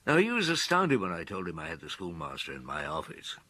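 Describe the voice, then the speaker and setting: A measured, expressive chief inspector. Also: male voice, sits low, heavily acted. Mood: calm, businesslike. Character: courteous, shrewd Parisian chief inspector, male. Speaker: actor in a radio drama. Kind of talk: radio drama acting.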